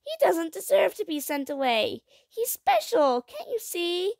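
Young girl for a storybook, woman performer: high-pitched, animated, emphatic, forever pleading her case. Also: unhurried, vocal fry, dry recording, heavily acted. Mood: desperate, pleading. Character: pleading, passionate young girl who wants a friend.

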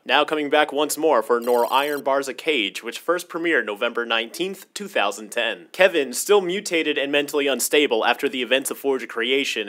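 Young man, medium pitch, casual commentary host, slangy and informal, close desk mic. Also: a bit bright. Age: young adult. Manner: slangy, informal fan talk. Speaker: host of a cartoon episode breakdown channel. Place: home room, indoors. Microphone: desk microphone close up.

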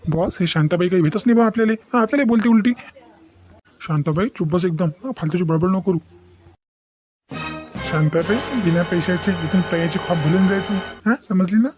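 Man acting a blustering cartoon kidnapper, high male voice, hurried, exasperated complaining. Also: a little mellow, soft background music, natural voice. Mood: irritated. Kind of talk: Marathi cartoon comedy acting.

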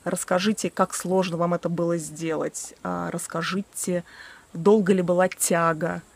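A woman's voice: fairly low pitch, flat delivery, normal speed, creaky, slight background noise. A woman in her early forties talking casually and candidly to a camera on a selfie stick, outdoors in a garden, with a few self-mocking laughs. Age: 40s. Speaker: vlogger. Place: outdoors by a wooden shed in a garden. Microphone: selfie stick camera at arm's length.

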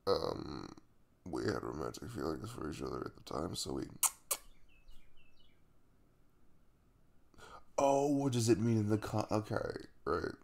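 A man's voice: low voice, big pitch swings, creaky, heavily acted. A man playing a caring young crush the morning after a kiss in an intimate ASMR roleplay, speaking casually and hesitantly, with a reassuring manner. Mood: reassuring, flustered. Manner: hesitant, reassuring, with soft chuckles and trailing pauses.

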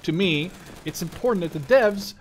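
Higher-pitched man rambling over loud game gunfire. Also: expressive, normal speed, slight background noise. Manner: rambling, profane, easily distracted mid-sentence. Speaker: video game streamer. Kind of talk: casual gameplay commentary. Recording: gunfire and clicks behind the voice. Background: video game gunfire.

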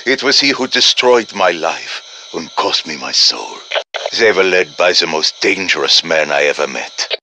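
A theatrical, commanding occult professor. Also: male voice, low-pitched, creaky, bright, forceful tone, a little hiss or hum, full character voice. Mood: grave, ominous. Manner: urgent, theatrical, formal. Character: grave, commanding male professor of the occult, guiding soldiers. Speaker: mission-giving game character. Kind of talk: dramatic video game voice acting.

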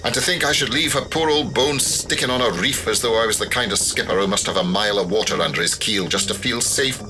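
A man's voice: fairly low pitch, fast, noisy background, close to an everyday voice. An actor playing a hearty, unflappable older sea captain who talks in breezy nautical turns with fatherly ease. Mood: rueful. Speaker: ship captain. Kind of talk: full-cast audio drama acting. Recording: studio audio drama with music bed.

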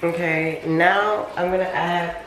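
A young woman with a low voice gives a casual cooking demonstration. She talks slowly and informally while busy at the stove. The recording is roomy, with clear kitchen noise. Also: steady tone. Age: young adult. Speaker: home cooking vlogger. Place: home kitchen, indoors. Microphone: camera mic a few feet away.

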